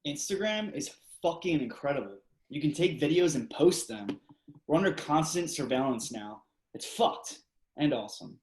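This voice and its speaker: A man's voice: a little high, expressive, normal speed, darkish tone, close to an everyday voice. Man acting drunk young guy, echoey room. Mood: drunk, rambling. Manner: loose, crude, slangy. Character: drunk, reckless twenty-six-year-old party guy. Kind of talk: play reading over video call. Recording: echoey room on video call.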